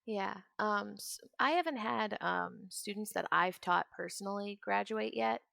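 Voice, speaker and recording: Woman in her twenties, heavy vocal fry, medium pitch, rambling casual game-stream chatter, mutters to self. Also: measured pace, bright, forceful tone, no echo, studio quality. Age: 20s. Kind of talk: casual chat while playing a video game.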